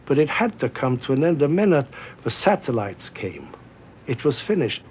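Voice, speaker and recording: Older male interviewee, mid-range and plain-spoken, looking back, slight low noise behind. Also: sing-song, normal speed, darkish tone. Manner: blunt, plain-spoken short statements. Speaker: photojournalist interviewed. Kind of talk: reflective documentary interview.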